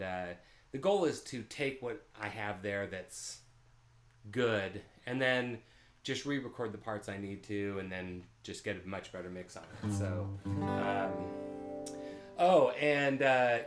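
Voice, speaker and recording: A man hosting a live music stream, chatting easily and rambling with his viewers between songs, picked up by a mic a few feet away. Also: fairly low pitch. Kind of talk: casual chat between songs. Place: home room in California. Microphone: open-air mic three or four feet away. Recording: roomy echo from a distant open mic.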